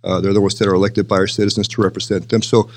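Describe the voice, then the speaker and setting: A man's voice: on the low side, level tone, rapid-fire, darkish tone. An older man from Texas answering interview questions in a conversational, explanatory way, often checking in with "right?" and "you know". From El Paso, Texas, United States. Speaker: interview guest, city manager.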